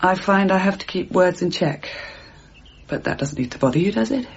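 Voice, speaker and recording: A woman's voice: on the low side, lively intonation, moderate pace, lo-fi sound, slight background noise. An actress playing a prim, guarded middle-aged landlady in a radio drama, speaking with clipped restraint. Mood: guarded, resigned.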